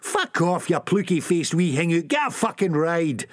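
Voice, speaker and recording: A desperate young Scottish addict, shrill and strained, snarling and swearing at everyone around him, as a man narrating puts him on. His voice jumps high above the narrator's own and swings wildly up and down in pitch. He is recorded close and dry. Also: sing-song, moderate pace, no echo. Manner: snarling, cursing constantly. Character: frantic young male junkie, wheedling and aggressive.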